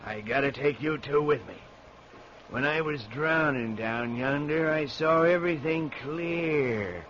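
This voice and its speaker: Slow, vengeful drowned dead man. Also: fairly high pitch, a bit bright. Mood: accusing, eerie. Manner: slow, menacing rural drawl. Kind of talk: vintage radio horror acting. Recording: muffled, noisy old radio recording.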